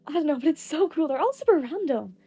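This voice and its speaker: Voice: high-pitched, expressive, fast, mellow, faint music behind, voice pushed up. A woman playing a friendly, easygoing teenage boy with a casual, chummy manner in an audio story. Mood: upbeat.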